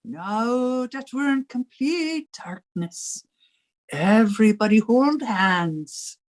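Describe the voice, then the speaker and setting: Melodramatic high-voiced Irish landlady. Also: lively intonation, slow pace. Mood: bossy, insistent. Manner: melodramatic, wailing, bossy. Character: theatrical, combative older Irish widowed landlady. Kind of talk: 1950s radio comedy acting.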